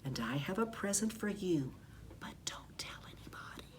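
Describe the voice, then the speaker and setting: A woman voicing a kindly, affectionate woman teacher in a storybook, speaking softly and confidingly in a hushed whispery tone. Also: lowish voice, lively intonation, moderate pace, close to an everyday voice.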